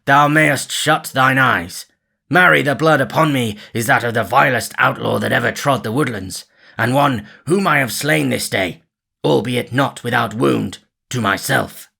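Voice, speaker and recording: A man's voice: medium pitch, unhurried, gravelly, sharp tone, pitched above the performer's own voice, exaggerated voice. A man voicing an outlaw who fakes a harsh voice in disguise.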